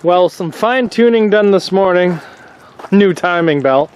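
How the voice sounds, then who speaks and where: A young man with a noticeably high voice talks casually. He is stuffy and sniffling from a cold. His speech rambles along, full of easygoing fillers. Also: animated, a little slow, a little mellow, smooth. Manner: laid-back, rambling, frequent "uh" fillers. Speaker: demolition derby builder and vlogger. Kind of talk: casual vlog chat. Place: home workshop or garage.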